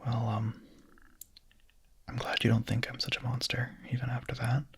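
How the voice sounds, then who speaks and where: A man playing a young vampire in an intimate ASMR roleplay, speaking softly and haltingly in a breathy, husky whisper, hungry yet hesitant and tender. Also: medium pitch, completely flat delivery. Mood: surprised, shy.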